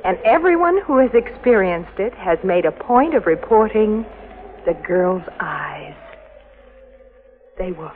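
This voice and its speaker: An actress playing a knowing, teasing sweetheart in a vintage radio drama. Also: female voice, mid-range voice, animated, measured pace, pauses often, natural voice. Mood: solemn. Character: knowing, teasing sweetheart explaining a local ghost legend. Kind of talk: 1950s radio drama acting. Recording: noisy, muffled old radio recording.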